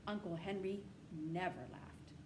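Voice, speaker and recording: A woman's voice: medium pitch, steady tone, a little slow. Woman reading aloud over background noise. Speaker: storybook reader. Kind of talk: reading a children's novel aloud. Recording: clearly noisy amateur recording.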